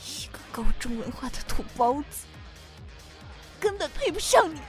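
A woman playing a clingy, drunken, scornful young jilted lover in a modern Chinese audio drama, with a dramatic, drawn-out delivery. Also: high voice, big pitch swings, soft background music.

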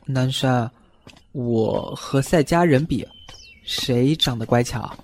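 A man playing a feisty, vain, jealous young male fox spirit in a Chinese audio drama, bratty and excitable. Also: medium pitch, slow pace, a little hiss or hum. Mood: showing off.

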